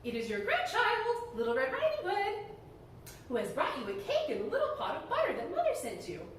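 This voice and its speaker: A woman's voice: extremely high pitch, huge pitch swings, brisk, very echoey, far from the mic, a little hiss or hum, voice pushed up, exaggerated voice. A woman voicing a sweet, innocent little village girl from a fairy tale, eager and wide-eyed.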